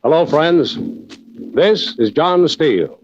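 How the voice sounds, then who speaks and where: A genial adventurer telling stories on old-time radio. His voice is slightly high for a man, with folksy narration to his listeners. The recording is muffled. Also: lively intonation, slow, background noise. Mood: genial, measured. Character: adventurer storyteller, genial and folksy, addressing friends. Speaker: host narrator. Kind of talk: 1940s radio drama narration.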